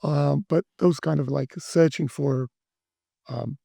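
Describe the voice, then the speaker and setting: A middle-aged man from Brazil, speaking as an interview guest, talking in a halting way with many restarts and fillers. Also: fairly high pitch, fairly even intonation, vocal fry, mellow, slightly rough, no room sound, studio quality. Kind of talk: interview conversation.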